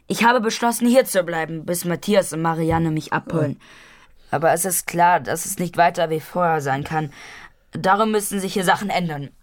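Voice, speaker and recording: A woman's voice: fairly low pitch, moderate pace, close to an everyday voice. A woman playing a precocious, stubborn twelve-year-old grandson in a radio drama, formal and insistent in manner. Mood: earnest, insistent. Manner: formal, matter-of-fact, insistent. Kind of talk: German radio drama acting.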